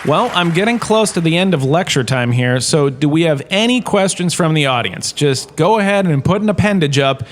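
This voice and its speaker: A man's voice: a little high, lively intonation, moderate pace, hardly any pauses, slight background noise, natural voice. A man playing a smug washed-up star who lectures as a history professor, conversational and wry, in a comedic audio drama. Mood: smug, casual. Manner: conversational, self-satisfied, wry asides.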